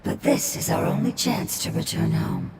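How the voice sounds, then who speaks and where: A woman voicing a solemn, cautious otherworldly being in a video game, wise and formal, with a breathy, measured delivery. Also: very noisy, lightly acted. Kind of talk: scripted video game voice acting. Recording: echoing, under loud music. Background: game music.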